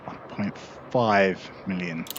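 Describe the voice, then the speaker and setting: A man with a lowish voice talking casually through a gaming session, explaining each step as he goes. Heavy background noise sits under his speech. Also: takes it slowly, dull audio. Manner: relaxed, explains as he plays. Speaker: gaming video creator. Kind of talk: casual gameplay commentary.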